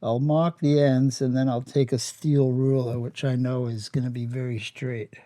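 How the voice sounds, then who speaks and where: A man's voice: medium pitch, level tone, moderate pace, warm tone, dry recording, clean studio sound. A man with a Canadian accent casually explaining hands-on workshop steps as he works, plain-spoken and matter-of-fact. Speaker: host of a workshop how-to channel.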